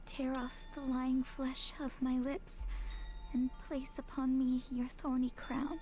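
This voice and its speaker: A woman voicing a timid, obedient young girl who recites prayers haltingly in a visual novel. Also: sits high, little pitch movement. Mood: timid, solemn. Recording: loud music under the voice.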